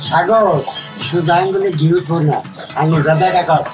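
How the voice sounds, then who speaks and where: Over clear background music comes a man acting as a village villain, a bossy uncle. His high voice is threatening and commanding, in melodramatic drama style. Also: moderate pace, natural voice.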